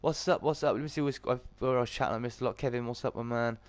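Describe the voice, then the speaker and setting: A British man with a slightly croaky, just-woken voice, hosting a casual livestream and greeting viewers chummily. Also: mid-range voice, fairly even intonation, very fast, dry recording. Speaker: live streamer and host.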